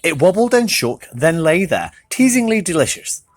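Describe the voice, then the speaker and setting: A storybook reader narrating for children in an animated style. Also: mid-range voice, moderate pace, no echo.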